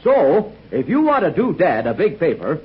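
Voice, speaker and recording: A man announcing a 1940s radio commercial in a chummy, persuasive sales pitch. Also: huge pitch swings, normal speed, husky. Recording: dull old 1940s radio recording.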